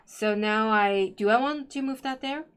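A woman's voice: fairly high pitch, normal speed, smooth. A middle-aged woman casually thinking aloud through her moves as she co-hosts an indoor board game playthrough. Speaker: board game show co-host and player. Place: indoor room at a game table.

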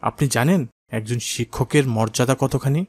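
A dignified, firm schoolteacher in a moral cartoon, acted by a man. He speaks instructively at a medium pitch. The recording is dry, with no room sound. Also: normal speed, darkish tone, dry recording, lightly acted. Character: firm, principled maths teacher in a skullcap. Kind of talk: cartoon dialogue acting.

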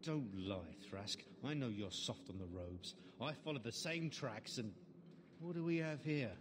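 An actor playing a hard, suspicious, accusing knight who scorns mages in a fantasy video game. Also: male voice, medium pitch, lively intonation, slow. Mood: scornful. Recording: faint game audio over stream, noisy ambience.

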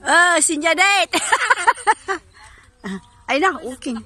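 A woman's voice: very high voice, slow pace, pushed and bright. A woman vlogging on a phone outdoors in a cornfield, chatting casually and mixing in English phrases. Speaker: vlogger filming a harvest. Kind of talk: casual chat. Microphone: phone camera held by the speaker. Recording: outdoor phone audio with noise.

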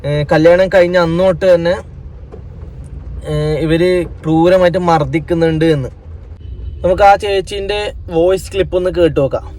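A man's voice: on the high side, moderate pace, long pauses, a little mellow. A man with a Kerala Malayalam accent giving unscripted news commentary, addressing people directly and admonishingly with repeated points. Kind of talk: unscripted opinion commentary to camera.

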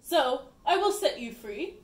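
A commanding sock puppet lord, played by a young woman, speaks in a high, theatrical voice. The sound is roomy and distant, like a homemade stage play. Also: big pitch swings, a little slow, heavy background noise. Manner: theatrical, declamatory. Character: commanding, forgiving sorcerer and grieving king, sock puppets. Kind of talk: amateur puppet-show acting. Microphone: phone camera at a distance.

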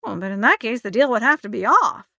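A man's voice: very high-pitched, sing-song, brisk, vocal fry, pushed and bright, dry recording, clean studio sound, pitched above the performer's own voice, exaggerated voice. A man voicing a folksy, plain-spoken male spokesman who bargains insistently, in an audiobook. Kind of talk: audiobook character acting.

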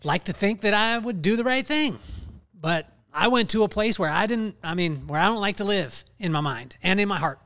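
A man in his thirties hosting a podcast, telling a story in an animated way over a call. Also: sits high, normal speed, no room sound. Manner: animated storyteller, acts out quotes. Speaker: podcast host. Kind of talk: casual interview talk, storytelling. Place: home office. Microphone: headphones and mic at home.

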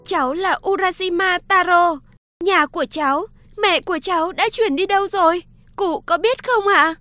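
A woman's voice: very high-pitched, normal speed, pushed and bright, no room sound, clean studio sound, soft background music, pitched above the performer's own voice. A woman voicing an earnest, polite young fisherman devoted to his mother in a cartoon folktale. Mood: anxious, bewildered.

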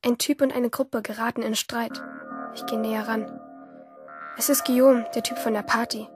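Intense schoolgirl, played by a woman, high-pitched. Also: steady tone, normal speed, close to an everyday voice. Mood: tense, observant. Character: troubled, yearning teenage schoolgirl narrating her inner life. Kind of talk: first-person radio drama monologue. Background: music under the voice.

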